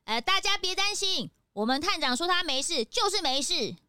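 A woman voicing a young, excitable animal sidekick with a childlike, wide-eyed manner in a children's audio drama. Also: extremely high pitch, moderate pace, heavily acted. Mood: alarmed. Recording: long reverberant echo.

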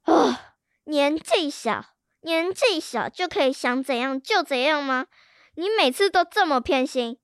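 A woman's voice: high voice, lively intonation, unhurried, dry recording, clean studio sound. A schoolgirl acting a whiny, indignant young sister in a children's radio drama. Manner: whiny, protesting.

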